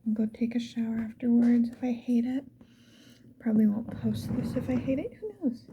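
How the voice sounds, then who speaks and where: A woman doing casual ASMR, whispering softly and musing to the viewer in an unhurried get-ready-with-me chat. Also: on the high side, fairly even intonation. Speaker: ASMR video creator. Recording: muffled lo-fi with background hiss.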